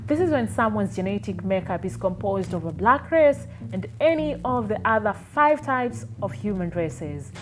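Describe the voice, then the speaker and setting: A woman host reading a scripted introduction in an even, informative way. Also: mid-range voice, normal speed, hardly any pauses, soft background music. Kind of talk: scripted narration.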